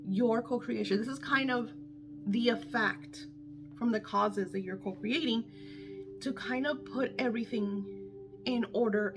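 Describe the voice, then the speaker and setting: Candid, swearing woman coach over music. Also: a little high. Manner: candid and emphatic, swears freely. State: losing her voice. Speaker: manifestation coach. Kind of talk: casual unscripted talk to viewers.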